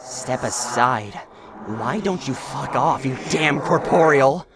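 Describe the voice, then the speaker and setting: A performer acts out a bitter spirit in a dramatic game dub. It talks down to the listener with formal, menacing scorn and deep distrust. The recording has clear noise behind it. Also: animated, takes it slowly. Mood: bitter, accusing. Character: bitter hostile ghost, taunting, distrustful of teachers.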